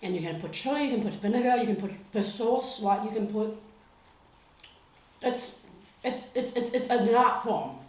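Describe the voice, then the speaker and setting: A woman's voice: on the high side, expressive, normal speed, long pauses, reverberant, low-quality recording. A woman in her thirties from Hong Kong, a podcast guest chatting bluntly at home, swearing freely and laughing often. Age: 30s. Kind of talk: casual chat.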